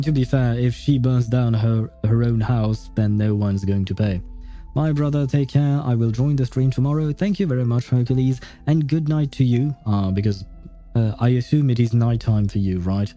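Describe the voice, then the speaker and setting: Dark, mellow-voiced man rambling on stream. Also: medium pitch. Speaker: game streamer. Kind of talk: casual livestream commentary.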